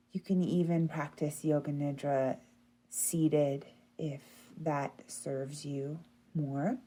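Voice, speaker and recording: A woman with a low-pitched, softly mellow voice guides a meditation. She speaks very slowly and gently, with a soothing, unhurried delivery suited to deep relaxation. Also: husky. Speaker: yoga nidra meditation guide.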